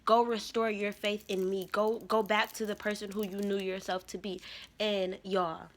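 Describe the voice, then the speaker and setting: A woman's voice: medium pitch, flat delivery, normal speed. A woman in her twenties giving an emphatic, slangy spiritual testimony to her viewers. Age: 20s. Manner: emphatic, repetitive, slangy storytelling. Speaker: spiritual vlogger.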